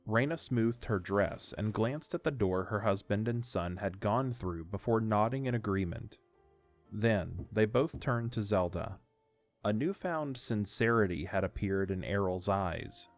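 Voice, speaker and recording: Male narrator for fantasy audiodrama, lower register, reading over a music bed. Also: expressive, unhurried. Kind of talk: scripted story narration.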